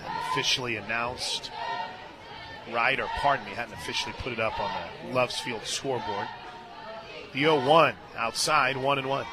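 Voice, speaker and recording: An American man calling a softball game on radio, chatting in a folksy, conversational way and punching up each pitch. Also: moderate pace. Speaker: play-by-play announcer. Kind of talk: live softball radio commentary with casual banter. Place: stadium radio booth. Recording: outdoor stadium crowd noise behind broadcast.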